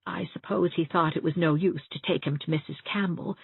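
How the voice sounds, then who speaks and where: A woman voicing a gentle, timid, chatty widow in her sixties, rambling and confiding in a genteel way, for an audiobook. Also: fairly low pitch, fairly even intonation, brisk, creaky, husky, narrow, tinny audio, full character voice. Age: 60s. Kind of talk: audiobook character acting. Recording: roomy, thin band-limited sound.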